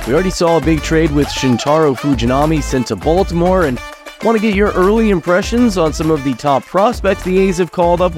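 A man hosting a casual sports podcast, slightly raspy from congestion and chatty, steering the talk with long questions. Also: a little high, a little mellow. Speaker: podcast host.

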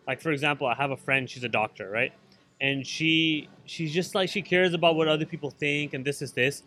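Bright-voiced man, earnest and reflective. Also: fairly high pitch, fairly quick. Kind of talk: casual chat.

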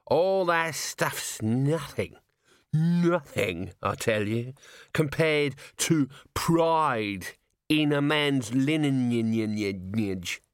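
A drunken, swaying watchman brags about royal blood in his veins, as a man acts him out in an audiobook. His words come slurred and stretched out, pitched well above the reader's own voice, with a dry close sound. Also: expressive, smooth, dry recording. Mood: drunk boasting. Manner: slurred, drawn-out, boastful drunken talk. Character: drunk, swaying scruffy male watchman boasting of noble blood. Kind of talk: audiobook character voice.

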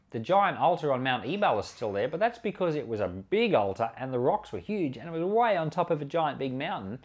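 Man preaching, lively swings in pitch. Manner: earnest, conversational, emphatic on key words. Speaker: pastor and Bible teacher. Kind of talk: informal sermon commentary on scripture.

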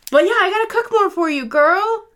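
A woman's voice: very high voice, fairly quick, fairly bright tone. A young woman co-hosting a casual podcast, playful and teasing, laughing often. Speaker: podcast co-host. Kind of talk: casual chat. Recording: faint background hiss.

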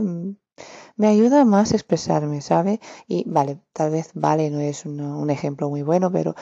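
Woman, slow and dark-voiced. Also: lowish voice, vocal fry, dry recording, highs cut off. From Romania. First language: Romanian. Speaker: podcast host. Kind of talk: casual solo podcast, reflective.

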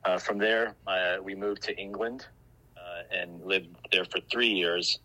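Podcast guest: man, middle-aged, American accent, deep pitch, sharply bright tone, explanatory storytelling. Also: fairly even intonation, a little slow, low-quality recording. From Greece. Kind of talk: informal interview storytelling.

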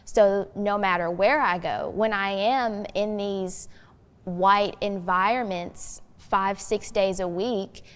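A teenage girl giving thoughtful discussion as a youth guest, speaking in an articulate, earnest, explanatory way. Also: medium pitch. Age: teens. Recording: long hollow room reverb.

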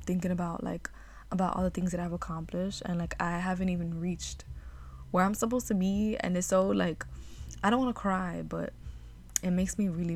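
Rambling young woman, low voice. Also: a little mellow, slight background noise. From New York City. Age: 20s. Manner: rambling, heartfelt, frequent "like" fillers and casual swearing. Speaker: podcast host. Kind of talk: reflective solo podcast monologue.

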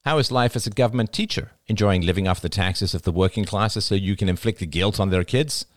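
A man's voice: low voice, fairly quick, dry recording, pitched above the performer's own voice, close to an everyday voice. A man voicing a composed man with a dry, wry, needling manner in a story.

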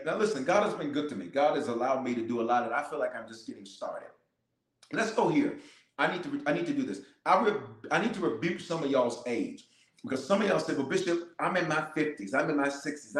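Fervent preaching bishop in an echoey room. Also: male voice, medium pitch, lively intonation, fast talker. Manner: fervent, repetitive declarations, calls to the audience. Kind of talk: livestreamed prayer and preaching. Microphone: cameras in front of him.

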